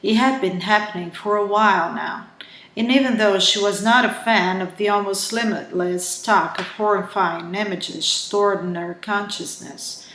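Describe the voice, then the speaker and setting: A woman from Brazil with a Brazilian Portuguese accent and Portuguese as her first language, reading her own thriller novel aloud with careful, halting delivery and frequent self-corrections. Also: medium pitch, fairly bright tone. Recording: roomy echo.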